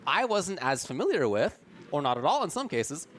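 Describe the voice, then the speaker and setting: An enthusiastic man casting a live fighting-game tournament. He breaks down play styles and matchups analytically, talking in quick bursts. A faint hum of ambience sits behind his voice. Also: on the high side, sing-song, fairly bright tone. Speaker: esports commentator.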